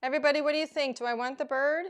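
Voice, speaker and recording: Woman, craft show host, hoarse from losing her voice, chatty, rambling, filler words. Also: high-pitched, steady tone, racing. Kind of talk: live crafting demonstration.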